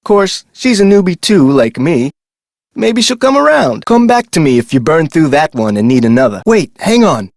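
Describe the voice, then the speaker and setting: Video game park guard, adult male: eager, folksy talker, slightly high-pitched, very lively inflection. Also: moderate pace, dry recording, studio quality. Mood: chatty, informative. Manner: folksy, colloquial, animated delivery. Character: chatty, eager amusement park security guard, adult man. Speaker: security guard character. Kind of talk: scripted video game character dialogue.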